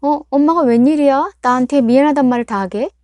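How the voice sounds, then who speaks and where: A woman voicing a resentful teenage daughter who snaps and accuses in loud, rapid outbursts. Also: high-pitched, big pitch swings, no room sound, studio quality, pitched above the performer's own voice. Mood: sullen.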